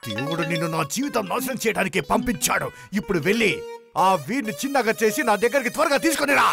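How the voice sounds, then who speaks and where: A gravelly, maniacal cartoon villain. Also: male voice, sits high, expressive, fast. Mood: exasperated, commanding. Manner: theatrical, barking orders, cackling. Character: mad scientist villain, hot-tempered, maniacal, bossy. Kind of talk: animated children's cartoon acting. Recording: background music under the voice.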